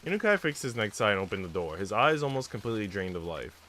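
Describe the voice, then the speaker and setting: A man's voice: lowish voice, big pitch swings, fast talker, pushed and bright. A man on a live stream, reading visual novel text aloud and chatting casually with viewers. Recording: noisy mic with typing sounds.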